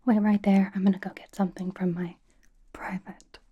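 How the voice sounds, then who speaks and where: A woman playing a sassy, vain young K-pop star doting on a fan in an ASMR roleplay, in a breathy whisper with teasing, deadpan delivery. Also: mid-range voice, normal speed, phone-quality sound, close to an everyday voice. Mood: fond, bragging.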